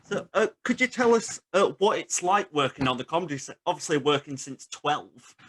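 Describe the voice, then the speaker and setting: A man's voice: a little high, lively intonation, moderate pace, fairly bright tone. A man hosting a casual interview, putting questions to his guest in an informal, conversational way. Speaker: interviewer, host.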